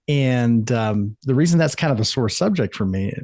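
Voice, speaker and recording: A man's voice: mid-range voice, expressive, moderate pace, darkish tone, no room sound, studio quality. A middle-aged man from the United States, an author and life coach, answering interview questions in a thoughtful, earnest way with frequent restarts.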